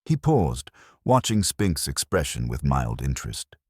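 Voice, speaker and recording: Extremely deep-voiced male mystery narrator. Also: very animated, a little slow, breathy edge, no room sound, clean studio sound. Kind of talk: scripted mystery story narration.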